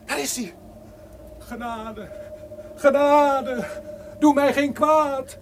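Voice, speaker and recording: An actor playing a terrified, superstitious sentry in an old-fashioned radio drama, panicky and wailing. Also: male voice, very high voice, extremely slow, slight background noise, heavily acted.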